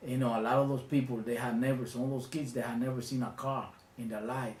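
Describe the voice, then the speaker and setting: A middle-pitched Dominican man in his fifties tells his childhood story in an interview, pausing often and searching for words. The room is echoey, and faint background noise sits beneath his voice. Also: flat delivery, a little mellow. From Dominican Republic. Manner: reflective, hesitant storytelling with many pauses. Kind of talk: recounting childhood memories.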